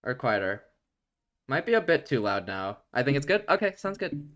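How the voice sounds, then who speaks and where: A man chatting casually on a game stream, talking to his viewers between quiet read-aloud passages. His voice sits at an ordinary middle pitch, low and relaxed when he reads, livelier when he laughs with chat. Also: normal speed.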